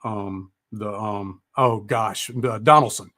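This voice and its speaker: A man's voice: on the low side, slow, sharp tone. A man hosts a music chat in a loose, informal style. He talks with enthusiasm and the casual wording of an easy conversation. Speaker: host of a music talk show.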